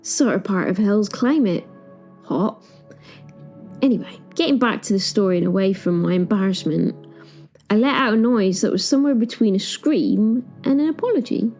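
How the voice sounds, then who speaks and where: A woman's voice: medium pitch, expressive, normal speed, a little mellow, soft background music, lightly acted. A woman playing a flustered, dry-witted reluctant caretaker of Hell, narrating an audio drama in the first person in a wry, understated, digressive way.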